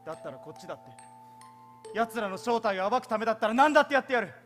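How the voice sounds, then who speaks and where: An actor playing a cocky, daring young undercover lawyer on stage, boyish, self-assured and dramatic. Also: male voice, high-pitched, lively intonation, fast talker, a bit bright. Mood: resolute. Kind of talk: theatrical stage acting. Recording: theatre stage recording with music.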